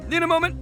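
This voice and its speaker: Brash, wildly animated oni gang boss. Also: male voice, very high-pitched, vocal fry, exaggerated voice. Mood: panicked, struggling. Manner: blustering, stammering, swaggering. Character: brash young male oni gang boss, boastful, goofy. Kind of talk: video game character dialogue.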